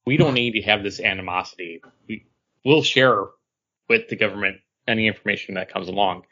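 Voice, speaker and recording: Male podcast co-host, bright forceful voice, casual comics chat, slightly lo-fi sound. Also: fairly low pitch, a little fast. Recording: dull lo-fi sound.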